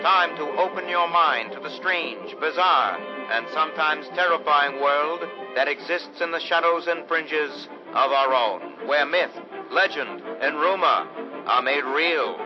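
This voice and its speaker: An actor playing the mysterious, suspenseful narrator of a vintage radio serial of strange tales, speaking theatrically. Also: male voice, on the high side, unhurried, lightly acted. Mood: ominous, theatrical. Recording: dull old-radio sound, loud music behind.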